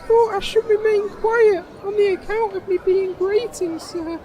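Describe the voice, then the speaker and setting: A man's voice: extremely high pitch, steady tone, measured pace, mellow, a faint crowd, a little hiss or hum. A man voicing a meek, naive little sidekick in a comedic fantasy audio drama, whiny, plaintive and politely deferential. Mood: meek, timid.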